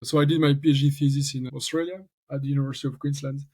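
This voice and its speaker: A man's voice: mid-range voice, fairly even intonation, very fast, darkish tone. A man from French-speaking Belgium with a strong French accent, a talkative podcast guest who thinks aloud in restarts. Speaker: venture capital founder, guest. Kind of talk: casual podcast interview.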